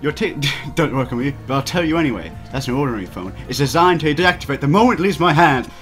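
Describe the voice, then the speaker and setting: A man's voice: on the high side, lively intonation, quick pace, fairly bright tone, faint music behind. A man voicing a paranoid, grandiose young mad scientist in a visual novel, in a pompous, melodramatic manner. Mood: smug, secretive.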